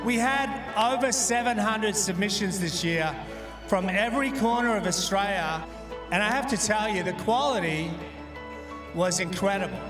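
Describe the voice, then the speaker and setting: A man hosting a festival projects to a large audience in a high-pitched voice. His words echo through an outdoor sound system, with music behind. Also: normal speed. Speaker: festival host. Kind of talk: public stage address.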